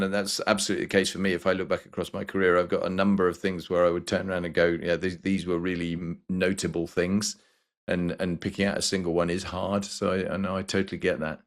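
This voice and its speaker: A man with a very low voice hosts an interview. He affirms his guest warmly and asks careful, gentle follow-up questions. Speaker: podcast host. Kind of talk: interview conversation.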